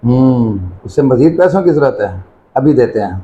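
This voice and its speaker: A man's voice: mid-range voice, expressive, dark tone, highs cut off, close to an everyday voice. A man acting as a wealthy, kindly but firm employer in an amateur moral skit. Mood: suspicious, firm.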